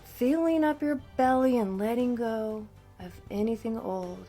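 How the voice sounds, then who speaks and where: A woman coach narrating a scripted guided meditation in a soothing, deliberate manner. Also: a little high, warm tone. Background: background music.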